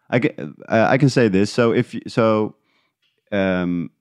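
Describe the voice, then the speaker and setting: A man talking casually and reflectively as an interview guest, feeling his way through thoughts with halting restarts. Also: on the low side, a little mellow, smooth, no room sound, studio quality. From Netherlands. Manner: halting, thinking aloud in restarts. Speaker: interview guest, independent podcast creator.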